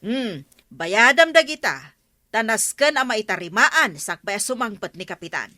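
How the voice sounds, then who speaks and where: A woman's voice: on the high side, expressive, normal speed, sharp tone. A woman acting a stern, insistent village woman who scolds, in an Ilocano comedy radio drama. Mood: stern scolding. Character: stern village woman demanding payment for damage.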